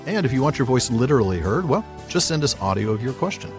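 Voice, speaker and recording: A man's voice: mid-range voice, big pitch swings, fast talker, music in the background. A man co-hosting a podcast in a casual, jokey way, moving between banter and sign-off. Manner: jokey, laughs often while talking. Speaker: podcast co-host.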